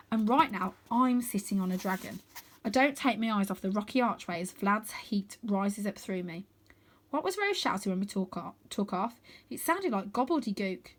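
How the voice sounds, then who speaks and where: A woman reading a children's adventure book aloud as storyteller, with expressive, dramatic emphasis. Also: medium pitch, normal speed, slight background noise.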